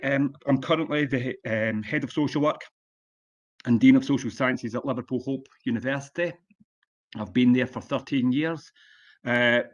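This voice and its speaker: A Scottish man in his sixties giving an online conference lecture as a guest speaker, plain-spoken and relaxed, with frequent ums and uhs. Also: mid-range voice, highs cut off. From Scotland. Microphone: video call.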